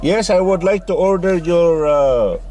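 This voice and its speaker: A man in a car at a drive-through, speaking in an overdone foreign accent with slow, mock-formal phrasing. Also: high voice, mellow, a little hiss or hum, voice pushed up. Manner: slow, formal, exaggerated order-taking phrasing.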